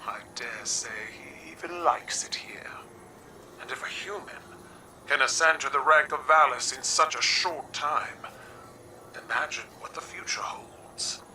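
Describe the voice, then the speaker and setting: An actor with a man's voice playing a regal alien war leader in a video game, formal, proud and deliberate. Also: on the high side, sharp tone, in a whisper. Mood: proud formality. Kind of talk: dramatic video game acting. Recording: distant voice under clear music. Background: music.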